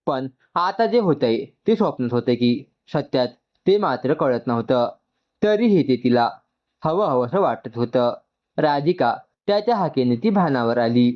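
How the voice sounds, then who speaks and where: A man's voice: medium pitch, lively intonation, unhurried, dull audio. A man narrating an emotional story from a script.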